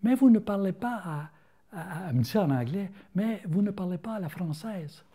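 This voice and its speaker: An older man with a rural Quebec French accent, telling stories in a casual interview, folksy and halting, full of fillers and restarts. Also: high voice, lively intonation, dark tone, slightly rough. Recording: interview filmed on camera.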